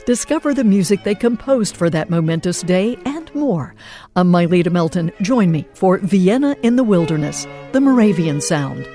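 A woman's voice: fairly low pitch, animated, moderate pace, mellow. A woman presenting a scripted promo for her music program. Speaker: radio program host.

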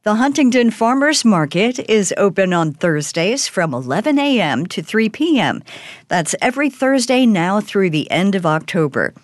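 A woman's voice: medium pitch, sing-song, normal speed, dry recording. A woman radio announcer reading a scripted community announcement.

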